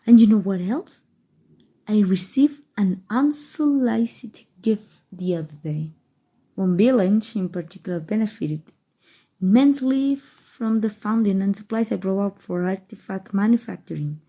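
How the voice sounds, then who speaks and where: A haughty evil queen, played by a woman with a Mexican accent, speaks at a leisurely pace in a dark, breathy voice. She muses on her schemes with playful condescension, as if to a single listener in an ASMR roleplay. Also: mid-range voice, low-quality recording. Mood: puzzled, disdainful. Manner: slow, measured, musing delivery addressed to a listener.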